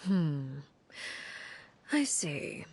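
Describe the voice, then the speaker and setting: A woman playing a cold, poised, secretive leader in a video game, speaking in a measured, guarded, formal way. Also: sits low, big pitch swings, a little mellow, a little hiss or hum, heavily acted. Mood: cool, evasive. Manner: measured, guarded, faintly menacing formal speech. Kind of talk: video game story dialogue.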